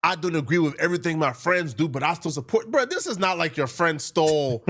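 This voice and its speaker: A man's voice: on the high side, animated, brisk, fairly bright tone. A man giving political commentary in an emphatic, mocking, slangy way.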